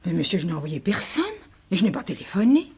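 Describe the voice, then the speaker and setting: An actress playing a shocked, defensive middle-aged secretary in a French radio drama. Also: female voice, lowish voice, big pitch swings, brisk, creaky, darkish tone, slightly rough, heavily acted.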